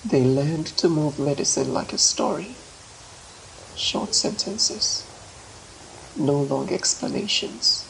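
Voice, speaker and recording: A woman narrating a folktale dramatically, with measured, emphatic phrasing and deliberate pauses. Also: animated, gravelly, slight background noise, poor audio. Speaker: storyteller. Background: ambient marimba, xylophone and low nature sounds.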